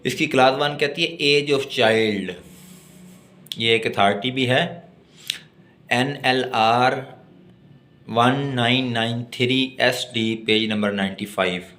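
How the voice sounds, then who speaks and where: A man with a Pakistani accent teaching law, reading legal text aloud deliberately and explaining it as a lecturer. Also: mid-range voice, pushed and bright.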